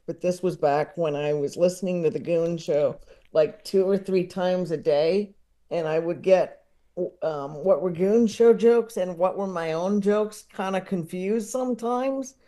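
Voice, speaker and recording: A man with a soft, mellow voice chats casually as a podcast guest. He takes his time, halting often, and sounds enthusiastic yet self-deprecating, tending to chuckle as he talks. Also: sits high.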